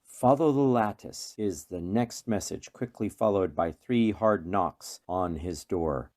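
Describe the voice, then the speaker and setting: A man narrating a radio play from a script in a measured, deliberate, dramatic way. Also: deep voice, a little mellow. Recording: faint music behind.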